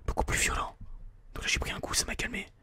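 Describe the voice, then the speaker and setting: A person whispering informal, hesitant gameplay commentary in an ASMR style, thinking aloud close to a binaural microphone. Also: quick pace. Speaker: video game streamer, ASMR host.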